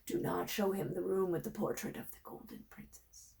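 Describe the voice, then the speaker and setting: Lady doing a weak old dying king from a fairy tale, worried, breathy, kinda halting and solemn. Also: fairly low pitch, darkish tone. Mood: weak, pleading. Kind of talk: storybook read-aloud.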